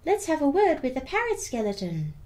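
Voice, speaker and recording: An eager little skeleton boy from a children's storybook, acted out by a woman. The voice jumps up high and bright with childlike excitement, swinging playfully through each phrase. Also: fairly quick. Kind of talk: children's picture book read-aloud.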